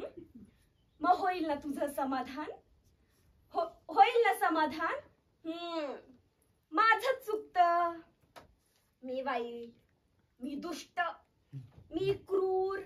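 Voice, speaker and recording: Woman acting a very high-pitched, overwrought scolding mother. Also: animated, slow pace, pauses often, heavily acted. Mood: self-pitying. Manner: theatrical, swinging between shouting, pleading and sobbing. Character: overwrought mother scolding her child, volatile, self-pitying. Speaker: actor performing a theatre monologue. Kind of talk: dramatic Marathi stage monologue. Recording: voice far from the mic.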